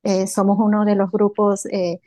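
A woman's voice: mid-range voice, little pitch movement, moderate pace, mellow. A woman from Bolivia answering interview questions in an earnest way, with many filler sounds. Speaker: entrepreneur guest.

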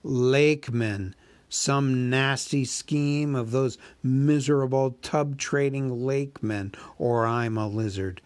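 Man playing a mocking menacing dragon, storybook, slow drawl, dry close sound. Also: mid-range voice, darkish tone, no room sound, lightly acted. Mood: scoffing. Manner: slow, sneering, drawn-out speech. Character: huge cunning male dragon, mocking and menacing.

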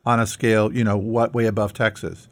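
A man's voice: on the low side, level tone, brisk. A middle-aged American man co-hosting a conversational podcast, speaking earnestly and posing rhetorical questions. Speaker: podcast co-host. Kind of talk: conversational discussion.

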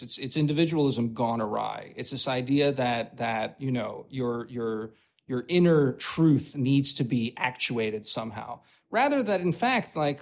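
A man co-hosting a podcast, building arguments in halting starts with repeated words and quick emphatic agreements. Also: medium pitch. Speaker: podcast co-host. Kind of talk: casual intellectual discussion.

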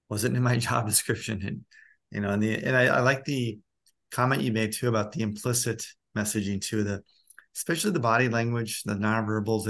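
A man's voice: on the low side, fairly bright tone. A middle-aged man interviewing in a conversational way, reflective and tentative as he thinks aloud. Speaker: interviewer and school principal.

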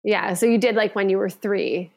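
A woman hosting a podcast interview, chatty and quick to laugh. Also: medium pitch, steady tone, creaky, darkish tone. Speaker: podcast host. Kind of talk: casual interview chat.

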